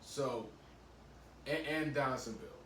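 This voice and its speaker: Podcast host, young man, halting and chatty, mid pitch, echoey room with faint noise behind. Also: pushed and bright. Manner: rambling, halting, many restarts. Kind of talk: casual chat. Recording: echoey room, mic at a distance, faint hum.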